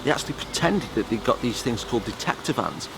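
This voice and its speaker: A man's voice: mid-range voice, animated, quick pace, vocal fry, noisy background. A British man giving casual, chatty commentary to viewers as a vlogger, telling stories informally. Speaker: vlogger presenting old public information films.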